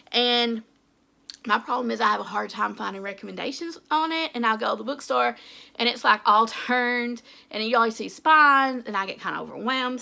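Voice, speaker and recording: A woman's voice: a little high, vocal fry, pushed and bright. A young woman with an Appalachian English accent, chatting casually to camera about her goals, talkative and laughing often at herself. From Tennessee. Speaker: author and book YouTuber. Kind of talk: casual vlog chat to camera.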